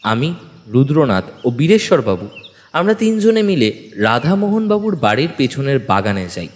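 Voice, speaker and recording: A male narrator reads a detective story dramatically. His mid-pitched voice moves widely up and down, set in a long hall-like reverb. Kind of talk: dramatic scripted first-person narration.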